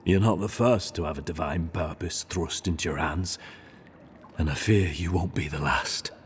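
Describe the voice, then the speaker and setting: An actor as a deep-voiced, solemn repentant assassin. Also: male voice, moderate pace, slight background noise, close to an everyday voice. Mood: grave, earnest. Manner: grave, earnest, formal oratory. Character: solemn honorable repentant male assassin, devout zealot. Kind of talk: dramatic video game dialogue. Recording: game music behind the voice. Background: music.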